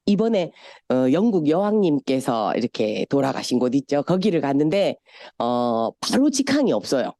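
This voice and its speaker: A woman's voice: on the low side, warm tone, no echo, clean studio sound. A middle-aged woman from Busan with a Gyeongsang accent, giving a chatty motivational seminar talk and telling stories. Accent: Gyeongsang Korean. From Busan, South Korea. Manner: chatty, storytelling, sometimes mimics voices. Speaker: speaker at a business seminar.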